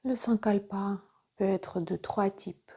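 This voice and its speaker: Female yoga nidra guide: soft, breathy near-whisper, dark warm tone, unhurried measured delivery of calm instructions. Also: medium pitch. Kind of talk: guided relaxation meditation.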